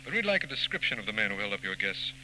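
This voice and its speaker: An actor playing an earnest, skeptical district attorney with a businesslike manner in a 1940s radio crime drama. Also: male voice, lowish voice, sing-song, racing. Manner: businesslike, matter-of-fact. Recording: noisy, humming old radio recording.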